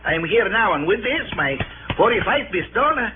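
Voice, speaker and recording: A man's voice: high voice, big pitch swings, a little fast, narrow, tinny audio. An actor playing a proud, boastful old Mexican watchman in a radio drama, with florid, over-polite broken English and a Mexican accent. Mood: boastful, assured. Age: older. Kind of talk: 1950s radio drama acting. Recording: noisy, band-limited old radio recording.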